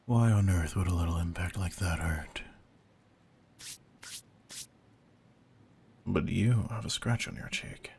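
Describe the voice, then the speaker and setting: A man playing a veteran vampire hunter in a slow-burn audio roleplay, intense and commanding, with deliberate, often breathy delivery and a gravelly voice. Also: low voice, a little mellow, heavily acted. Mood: soothing, intimate.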